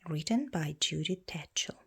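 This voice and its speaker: A woman reading a children's storybook aloud in a measured, expressive storytelling way. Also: sits low, vocal fry, breathy edge. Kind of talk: read-aloud storybook narration.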